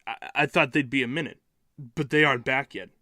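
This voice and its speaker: A man acts as a busy, concerned cop in an audio play. His mid-range voice is bright and forceful, recorded dry and close, with brisk, colloquial phrasing. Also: mid-range voice, steady tone, dry recording, lightly acted. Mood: worried, brisk. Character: worried, busy policeman giving orders to colleague. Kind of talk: audio drama acting.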